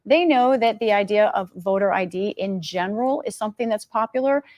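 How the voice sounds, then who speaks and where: Woman, American accent, medium pitch, news correspondent, explanatory live report. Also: brisk, a little mellow.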